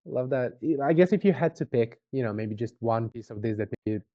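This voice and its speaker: A man making a conversational sales pitch on an online call, informal and often checking in with questions. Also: lively intonation, fairly quick, no room sound, muffled recording. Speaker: sales representative for a marketing agency.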